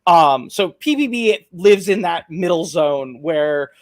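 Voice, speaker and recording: A man answering interview questions as a software project maintainer over a video call, halting and thoughtful, with frequent "um" and "like". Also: on the high side, expressive. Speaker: guest, open-source project maintainer.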